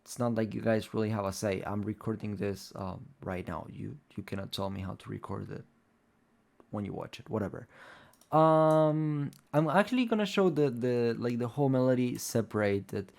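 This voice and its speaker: A man's voice: fairly low pitch, animated, moderate pace, creaky, a little mellow. A young man whose first language is Spanish, talking casually and loosely through a tutorial as a music producer, with lots of fillers. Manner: rambling, loose, many fillers like "like" and "um".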